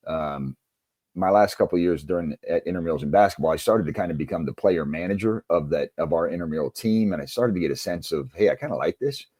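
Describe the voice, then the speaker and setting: A man's voice: sits low, quick pace, dark tone, husky, no room sound, studio quality. A middle-aged American man telling stories conversationally as an interview guest, in a reflective, anecdotal way. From United States. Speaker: interview guest, former basketball coach and author.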